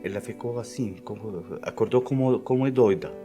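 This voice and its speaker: Deep-voiced, halting man with a Syrian accent. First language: Arabic. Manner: halting, searching for words. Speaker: refugee, small business owner. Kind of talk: testimonial in a documentary. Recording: clear music bed behind.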